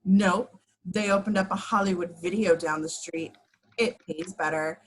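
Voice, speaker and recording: A woman's voice: fairly low pitch, fairly even intonation, moderate pace, slight background noise, close to an everyday voice, rough recording. A woman playing a matter-of-fact goth girl of twenty-two, speaking casually, in an amateur table read.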